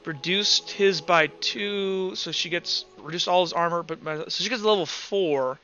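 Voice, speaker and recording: A man improvising narration as a game master, halting and thinking aloud as he works through the rules. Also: high-pitched, bright, forceful tone, muffled recording, faint music behind. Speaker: game master of a tabletop roleplaying game.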